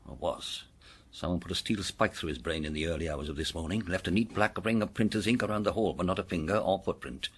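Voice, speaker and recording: An actor with a British accent playing an excitable, commanding senior police inspector in a period detective drama. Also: male voice, sits low, fast, breathy edge. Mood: grave.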